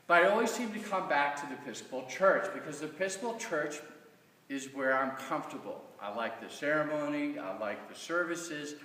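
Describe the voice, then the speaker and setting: A middle-aged man with an American accent gives an informal, earnest personal testimony to a congregation in a halting, conversational way. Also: on the high side, expressive, pushed and bright. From Kansas, United States. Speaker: church member giving a stewardship talk. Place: large reverberant room, speaking from off the mic. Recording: echoey church hall, distant mic.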